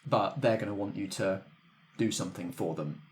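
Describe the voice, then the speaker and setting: A man's voice: fairly low pitch, normal speed. A man acting as game master, casually explaining the game and thinking aloud as he goes. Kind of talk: casual tabletop game facilitation, scripted credits at the end.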